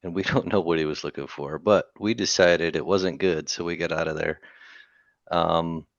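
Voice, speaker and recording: A man's voice: deep voice, steady tone, moderate pace, dry recording, muffled recording. A man playing a tabletop game, chatting casually as a player. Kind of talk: casual chat, recapping play.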